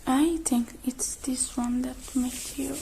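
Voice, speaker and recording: A woman doing an ASMR roleplay as a technician, whispering softly and breathily close to the microphone, murmuring gently through each step. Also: high voice, fairly even intonation, slow pace, creaky, a little hiss or hum, poor audio. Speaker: ASMR creator playing a robot technician.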